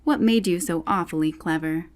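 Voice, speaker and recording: A woman's voice: fairly low pitch, normal speed, lightly acted. A woman voicing a cheeky, inquisitive young man who recites storybook verse in a singsong manner. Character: cheeky, inquisitive youth questioning his father.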